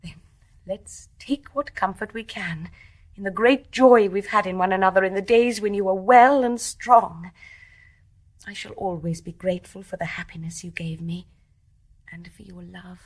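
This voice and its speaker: A woman acting a young English wife in a British radio play, with a medium-pitched voice and refined Received Pronunciation. She is tender and gently teasing, with guilt and strong feeling held just under a genteel restraint. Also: steady tone, normal speed, close to an everyday voice. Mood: gentle, sad. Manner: genteel, restrained, emotionally charged.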